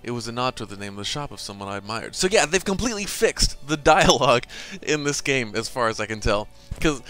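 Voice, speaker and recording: A man's voice: medium pitch, pushed and bright, clean tone. A man doing let's play commentary and reading game text aloud, rambling with asides. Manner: rambling, full of ums and asides.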